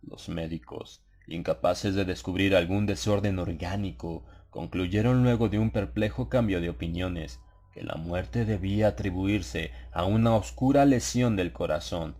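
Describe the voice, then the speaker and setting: A man reading a horror story as an audiobook narrator, in a measured, solemn, dramatized literary delivery. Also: deep voice, warm tone.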